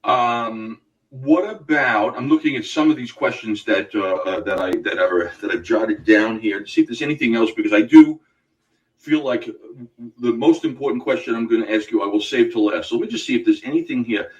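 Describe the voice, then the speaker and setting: A man with a New York accent hosting a casual radio interview, halting with many fillers and restarts. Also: mid-range voice, far from the mic, lo-fi sound. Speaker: radio host.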